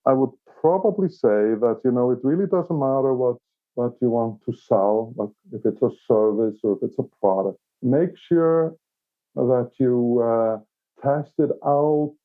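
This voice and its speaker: A man from Iceland with an Icelandic accent, answering casually as an interview guest in a halting way, repeating words as he searches for phrasing. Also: mid-range voice. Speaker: interview guest, company operations director. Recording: thin phone-like call audio.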